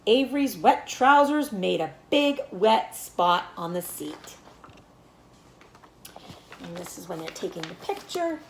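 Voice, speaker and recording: A woman reading a children's book aloud as a teacher, in an animated storytelling manner. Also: mid-range voice, slow, pauses often, reverberant, slight background noise. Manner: animated storytelling with acted dialogue.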